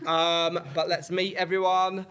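A man's voice: high voice, little pitch movement, measured pace, a bit bright. A man hosting a comedy podcast, chatting and teasing guests after a show. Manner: joking, teasing. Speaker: podcast host. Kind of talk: casual chat.

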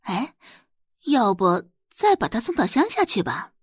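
A woman voicing a haughty, spiteful middle-aged rich wife and mother in an audio drama, shrill, indignant and sneering. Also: very animated, measured pace, slightly rough, no room sound, clean studio sound, exaggerated voice. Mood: furious, bitter.

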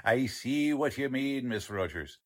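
A man's voice: mid-range voice, animated, unhurried, close to an everyday voice. A man acting a cheerful, proud, unpolished police lieutenant with folksy bluster in a 1940s-style radio drama. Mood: good-natured, self-satisfied. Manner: folksy, ungrammatical, good-natured bluster.

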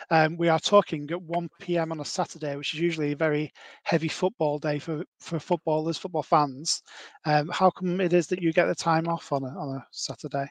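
A man's voice: on the high side, no room sound. A man in his thirties hosting a casual podcast interview, asking long rambling questions with many fillers. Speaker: podcast host.

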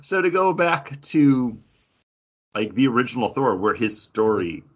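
A man's voice: mid-range voice, big pitch swings, a little slow. A man co-hosting a pop culture podcast, chatting in a jokey, conversational way. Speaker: podcast co-host. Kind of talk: casual pop culture chat. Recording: dull, lo-fi podcast audio.

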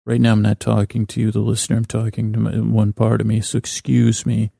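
A man with a deeply creaky, gravelly voice and a mellow, soft-edged tone rambles through a soothing bedtime monologue. His intonation stays flat and lulling as he halts, circles back and interrupts himself. The sound is close and dry, as a podcast host talking gently to listeners. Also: no echo. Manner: meandering, halting, self-interrupting.

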